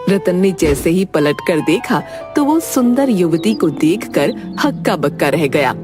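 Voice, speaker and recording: Animated woman storyteller over music. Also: lowish voice, measured pace. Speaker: narrator. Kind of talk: scripted children's story narration.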